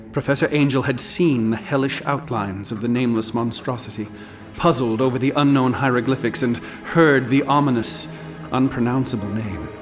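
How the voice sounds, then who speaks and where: An actor playing a grave, scholarly man who narrates a horror tale in a measured, literate, ominous way for radio drama. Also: mid-range voice, natural voice. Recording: music under the voice.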